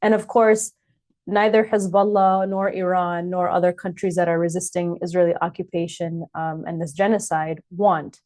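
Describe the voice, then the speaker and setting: A Palestinian American woman hosting an interview podcast, talking conversationally and often pausing with filler sounds. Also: on the low side, fairly even intonation, darkish tone. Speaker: podcast host.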